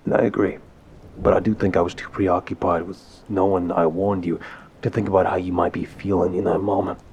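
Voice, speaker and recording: A man's voice: deep voice, creaky, a little mellow, a little hiss or hum. A man acting an apologetic, lovesick mafia boss in an intimate audio roleplay, speaking hesitantly and sincerely with sighs. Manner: halting, hesitant, sighing, sincere.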